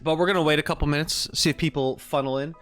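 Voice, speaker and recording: A man's voice: a little high, fairly even intonation, quick pace, fairly bright tone. A man live streaming, talking casually and thinking aloud in an easygoing, rambling way. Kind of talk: casual livestream chat. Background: background music.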